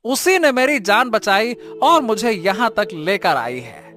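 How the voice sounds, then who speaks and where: A dutiful young cartoon prince played by a man, earnest and declamatory. His high, bright voice moves animatedly up and down. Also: animated, a little slow, soft background music, heavily acted. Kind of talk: cartoon story character voice.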